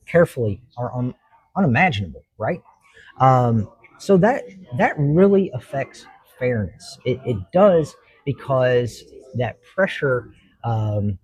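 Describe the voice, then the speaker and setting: Husky-voiced man. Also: medium pitch, a little mellow, no room sound, rough recording. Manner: earnest, reflective, explains at length. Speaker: judge, talk show guest. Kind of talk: interview answers.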